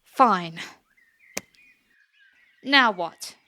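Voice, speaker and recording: A woman's voice: mid-range voice, animated, extremely slow, bright, forceful tone, exaggerated voice. A woman playing a spirited, defiant young princess in a fantasy audio drama, easily flustered, with an indignant, hesitant delivery. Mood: wary, confused.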